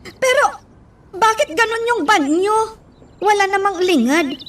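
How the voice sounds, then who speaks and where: A woman acts as a playful, outspoken young woman in a radio drama. Her voice is extremely high and animated, coy yet direct. She sounds slightly distant from the microphone. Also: unhurried, full character voice. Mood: reluctant.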